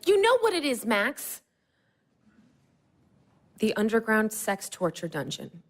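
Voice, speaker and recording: A woman's voice: fairly high pitch, huge pitch swings, slow pace, heavily acted. An actress playing an earnest, exasperated woman in a naturalistic audio drama, insistent and pleading as she presses a close friend. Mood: arguing, pleading.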